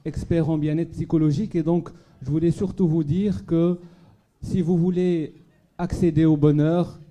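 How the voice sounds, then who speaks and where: Man from Morocco, fairly high voice, very dark and mellow tone, halting gala speech to a crowd. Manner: hesitant, many fillers and restarts, audience questions. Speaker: charity speaker at a fundraising gala. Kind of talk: public speech to a live audience. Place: banquet hall at a gala dinner.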